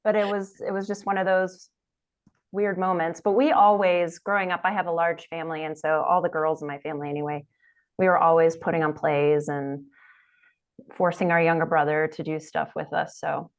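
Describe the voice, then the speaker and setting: A woman's voice: deep voice, little pitch movement, normal speed. A woman actor, guest on an interview show, chatting casually and laughing easily over a video call. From Delaware, USA.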